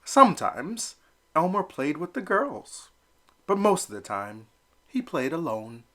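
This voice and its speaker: Brief: expressive teacher narrating a picture book, unhurried and bright. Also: male voice, fairly high pitch. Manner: expressive and animated. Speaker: teacher reading aloud. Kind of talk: children's read-aloud narration.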